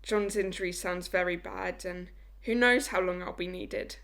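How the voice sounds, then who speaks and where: A woman's voice: lowish voice, normal speed, a bit bright. A young woman playing a blunt, dutiful wartime land girl in an audio play. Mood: worried, earnest.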